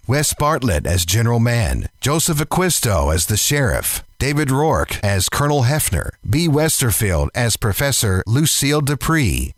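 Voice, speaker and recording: Lively male radio announcer, dry sound. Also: mid-range voice, no echo. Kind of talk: scripted credits announcement.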